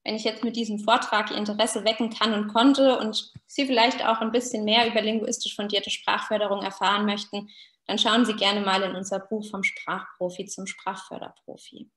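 A woman's voice: mid-range voice, little pitch movement, moderate pace, fairly bright tone. A woman in her thirties giving an explanatory online lecture as a researcher, addressing her listeners directly. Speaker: university researcher presenting a talk. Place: small indoor room, video call. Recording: roomy, echoing webcam sound.

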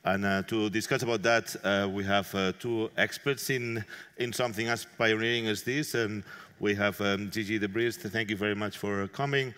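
A man moderating a conference panel on stage, speaking haltingly with many filler sounds into a headset mic. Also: lowish voice, steady tone, a bit bright. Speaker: moderator and event organizer. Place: large conference hall stage. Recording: long reverb of a large hall.

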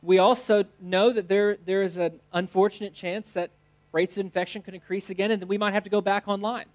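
A man's voice: high-pitched, steady tone, moderate pace. A man in his thirties with an American accent, a pastor giving a sermon in short phrases. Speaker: teaching pastor. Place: church stage, indoors.

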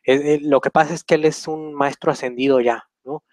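A man's voice: a little high, steady tone, moderate pace, a little mellow, dry recording. A young man hosting a casual question-and-answer session over a video call from a small room, rambling and hesitant with many fillers. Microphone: webcam on a video call.

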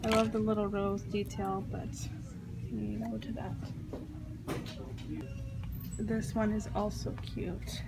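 A woman's voice: mid-range voice, flat delivery, slow, creaky, long pauses, music in the background, low-quality recording. A young woman vlogging casually while shopping, gushing over items and reading prices aloud into her handheld phone. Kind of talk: casual shop-with-me commentary. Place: home decor stores, indoors. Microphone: handheld phone camera.

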